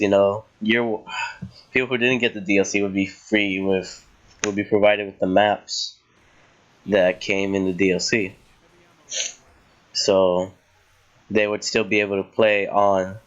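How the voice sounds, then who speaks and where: Young man, low voice, dull lo-fi mic, sick, frustrated rambling rant. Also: a bit bright. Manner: frustrated rambling rant with many fillers and restarts. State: getting sick. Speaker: gaming channel host. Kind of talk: voice-over commentary over gameplay.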